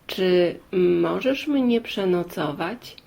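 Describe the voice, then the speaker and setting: A man's voice: high voice, animated, takes it slowly, vocal fry, a little mellow, full character voice. A woman voicing a polite, grateful male pilgrim in a folk tale, making brief, courteous requests.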